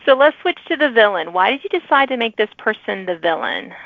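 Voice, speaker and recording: A woman's voice: a little high, sing-song, a little fast, vocal fry, no echo, phone-quality sound. A woman hosting an interview podcast, asking friendly questions and giving encouraging responses. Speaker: podcast host.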